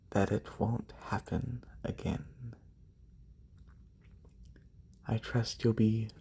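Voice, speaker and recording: A man playing a haughty, demanding lamia noble in a soft-spoken ASMR roleplay, formal and condescending, with a breathy, husky voice close to a binaural microphone. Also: medium pitch, exaggerated voice. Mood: stern, haughty. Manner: condescending, formal, drawn-out delivery. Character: haughty wealthy lamia noble, demanding serpent-bodied employer.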